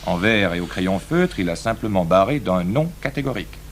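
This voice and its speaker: An actor playing a falsely genial, caustic newspaper editor in a 1980s French radio drama. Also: male voice, low-pitched, expressive, normal speed. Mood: mock-friendly. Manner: mock-friendly, sardonic. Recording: noisy old radio broadcast recording.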